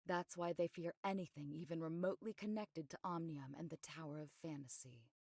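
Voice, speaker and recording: A composed, discreet woman investigator from an anime game. Her voice sits slightly low with a clear fry in it. She briefs in a measured, matter-of-fact way, recorded close and dry. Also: darkish tone, no echo. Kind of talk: scripted anime game voice acting.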